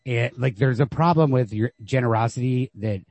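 A man with a creaky, rough-edged voice talks casually about literature as a podcast co-host. He is informal and emphatic, and the recording sounds dry and close. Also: mid-range voice, moderate pace, darkish tone, dry recording.

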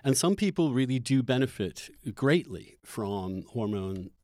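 A man's voice: medium pitch, expressive, darkish tone, no echo. Thoughtful male interviewer, dry close sound. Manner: halting, thoughtful, tentative phrasing. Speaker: interviewer, host. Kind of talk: conversational interview.

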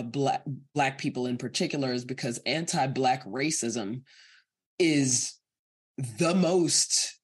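A woman's voice: a bass voice, breathy edge. Emphatic American woman guest. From United States. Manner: earnest, emphatic, laughs between points. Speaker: podcast guest. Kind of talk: candid conversational interview.

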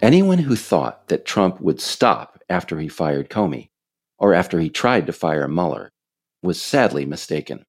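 A man narrates a scripted political account in a very low voice with a creaky edge. His delivery is deliberate and lively in intonation, pausing for emphasis, over a faint bed of music. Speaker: author and podcast narrator.

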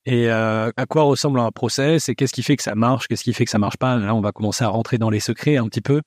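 A man's voice: medium pitch, fast, no room sound, clean studio sound. A man hosting a French podcast, introducing his guest and asking friendly questions with a few hesitations. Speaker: podcast host, interviewer. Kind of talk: podcast interview.

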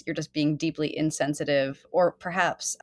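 A woman co-hosting a podcast in casual chat, wry and articulate, quick to laugh. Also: deep voice, steady tone. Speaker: podcast co-host.

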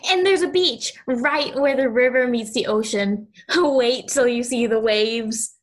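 Audio play: actress as kind little girl, high pitch, unhurried, sincere and slightly hesitant. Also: close to an everyday voice. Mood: eager wonder. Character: kind, earnest young girl befriending a mayfly.

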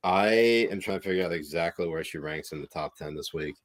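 Deep-voiced man in rambling political chat. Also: fairly even intonation, a little fast. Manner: rambling, lots of filler words.

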